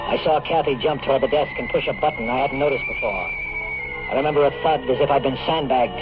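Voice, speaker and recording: A man's voice: mid-range voice, fast, loud music behind the voice, lightly acted. An actor playing a dazed, disbelieving American hero who narrates his own story in a 1950s radio drama, with an emotional, stammering delivery. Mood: grim narrating. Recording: tinny, noisy old radio broadcast recording.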